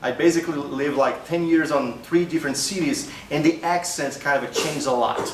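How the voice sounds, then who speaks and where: A man's voice: a little high, normal speed. A man in his thirties from Brazil with a Brazilian Portuguese accent, giving a casual conference talk as a developer advocate, often adding tag questions. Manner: conversational, frequent tag questions. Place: small meeting room, indoors. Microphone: far camera. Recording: roomy, distant, faint hiss.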